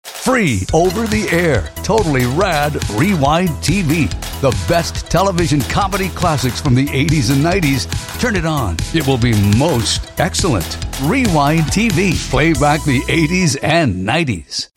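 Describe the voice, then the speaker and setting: A man's voice: a little high, big pitch swings, a little slow, music in the background. A man reading an upbeat promo with playful retro slang.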